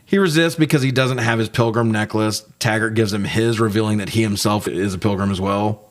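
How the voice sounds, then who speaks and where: A man's voice: on the low side, brisk. A man co-hosting a casual, comedic movie review, talking with sarcastic, profane joking. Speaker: podcast co-host reviewing movies.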